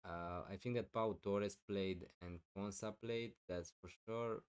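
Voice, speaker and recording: Man, live streamer, low voice, smooth and clear, loud with lots of fillers, voice wearing thin. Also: fairly even intonation, measured pace. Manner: loud, thinking aloud with many fillers. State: losing his voice, clearing throat. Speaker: fantasy football streamer. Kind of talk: live stream answering chat questions.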